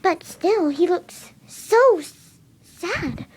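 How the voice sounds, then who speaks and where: A woman voicing a gentle, timid, kind winged pony mare in an amateur cartoon fan-fiction reading, soft-spoken and hesitant. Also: extremely high pitch, lively intonation, drawn-out words, slight background noise, heavily acted. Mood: puzzled, pitying.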